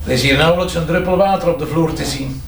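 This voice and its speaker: An actor playing a blunt, cheeky police commissioner in a Flemish crime drama, speaking colloquially with a sardonic edge. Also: male voice, fairly high pitch, fast, creaky, a little hiss or hum. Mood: mocking, assertive. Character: gruff, cheeky, mocking male police commissioner. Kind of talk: naturalistic Flemish radio crime drama acting.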